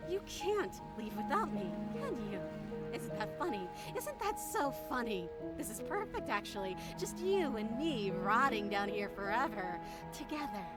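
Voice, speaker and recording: A woman's voice: on the high side, very animated, moderate pace, over loud music. A woman voicing a bitter, distrustful captive princess in a horror game, with brooding, theatrical delivery. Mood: eerie, unhinged. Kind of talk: video game voice acting.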